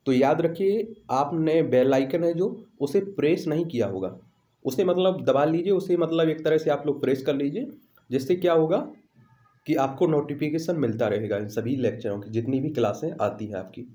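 Man, Indian accent, online teacher, mellow tone, instructional, repetitive quiz delivery, roomy echoing recording. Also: on the high side, normal speed. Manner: instructional, repeats questions and answers. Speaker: teacher, online exam coach. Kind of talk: question-and-answer history lesson.

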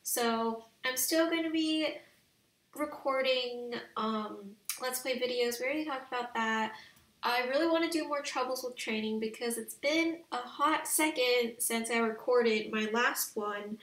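A young woman giving casual, slangy let's play commentary on a video game in an excitable, gushing way. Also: a little high, unhurried, reverberant, recorded from a distance. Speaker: video game streamer and channel host.

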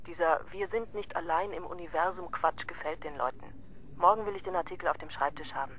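An actress playing a brisk, cynical female editor who is heard over the phone. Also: fairly low pitch, a bit bright, a little hiss or hum. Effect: phone call.

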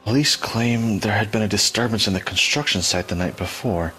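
A man playing an earnest, easygoing teenage boy in a fan audio drama, hesitant and expressive. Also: lowish voice, fairly quick, faint music behind, low-quality recording. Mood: reading aloud.

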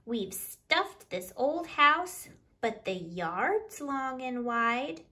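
A woman's voice: high-pitched, lively intonation, very slow, bright, forceful tone. A woman in her thirties hosting a children's storytime, speaking expressively to young listeners in a living room, a few feet from the camera. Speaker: library storytime host. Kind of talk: children's picture book read-aloud. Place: small living room by a window.

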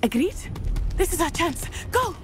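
A woman's voice: extremely high pitch, sing-song, music in the background. A composed, daring schoolgirl witch. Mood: determined. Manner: measured, formal, confident speech, often hushed. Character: composed teenage schoolgirl witch, brave and determined. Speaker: game character.

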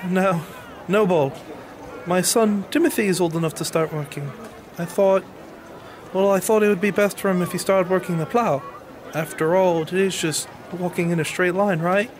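A man's voice: high-pitched, fairly even intonation, normal speed, slight background noise, natural voice. A man playing an earnest, humble medieval peasant farmer in an audio drama, with a pleading, hesitant manner. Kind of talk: audio drama acting and narration.

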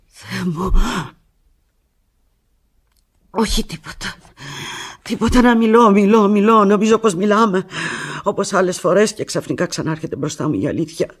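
Radio play lead: actress as heartbroken woman clinging to her lover by phone, husky, intense, fragmented delivery. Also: natural voice. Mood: anguished, pleading. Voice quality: low, husky. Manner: intense, fragmented, swinging from hushed to anguished. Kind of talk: radio drama monologue, one side of a phone call.

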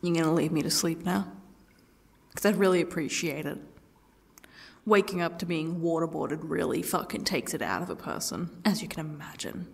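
A woman playing a sarcastic, defiant captive in an ASMR roleplay, swearing and slipping into breathy half-whispers. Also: low voice, normal speed, darkish tone, exaggerated voice. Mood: sardonic, defiant.

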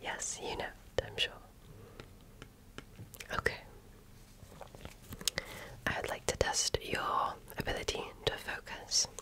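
A woman whispering breathily in a gentle, deliberate, patient way, as an examiner in an ASMR roleplay. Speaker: ASMR performer playing a medical examiner. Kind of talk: ASMR roleplay, soft-spoken personal attention.

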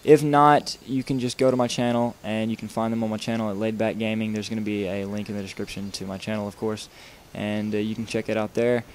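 A man's voice: lowish voice, little pitch movement, brisk, hardly any pauses, slight background noise. A young man talking casually over his gameplay while showing how to build a track, rambling with lots of fillers and restarts. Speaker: video game tutorial maker.

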